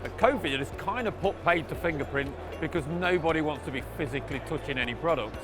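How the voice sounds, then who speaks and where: Higher-pitched man interviewing, music behind him. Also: animated, fast. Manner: explanatory, prompts with tag questions. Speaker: interviewer, product presenter. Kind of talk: conversational promotional interview. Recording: background music under the voice.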